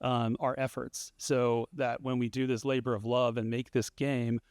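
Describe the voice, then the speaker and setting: A man's voice: medium pitch, little pitch movement, moderate pace, darkish tone, smooth, dry recording, studio quality. Chatty man answering on a panel. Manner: conversational, many fillers and restarts. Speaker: panelist, studio founder. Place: conference panel stage.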